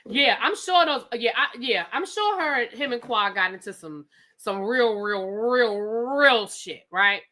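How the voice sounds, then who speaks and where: Woman, bright voice, lively pitch, chatty recap host, swears casually. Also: unhurried. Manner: animated storytelling, acts out quotes; profane, conversational. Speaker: TV show reviewer. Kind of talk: casual live recap rant.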